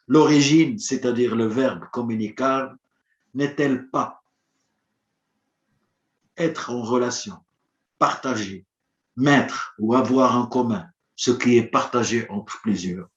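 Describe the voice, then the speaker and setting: Male conference speaker, first language Arabic; formal, measured, rhetorical; mid-pitched voice in a roomy space, dull lo-fi sound. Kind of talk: formal prepared address.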